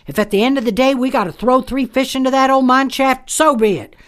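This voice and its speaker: A woman's voice: high voice, brisk, close to an everyday voice. Western radio comedy: woman as crooked matriarch of an outlaw family, American, folksy, commanding, plotting. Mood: angry, determined. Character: scheming, bossy outlaw mother in the Old West. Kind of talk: comic Western audio drama.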